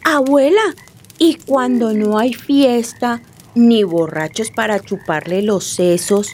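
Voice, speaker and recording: A woman playing an eager Colombian grandchild questioning a grandmother about monsters in an expressive radio-drama style. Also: a little high, takes it slowly, slight background noise. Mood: curious.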